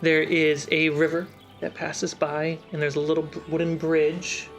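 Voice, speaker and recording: Game master: mid-pitched voice, descriptive narration in short halting phrases, faint score underneath. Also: little pitch movement, faint music behind. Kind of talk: improvised tabletop game narration.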